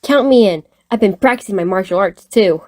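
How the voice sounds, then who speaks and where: A woman's voice: medium pitch, a little fast, darkish tone, lightly acted. A woman playing an eager, daring young female shapeshifting agent in an audio story.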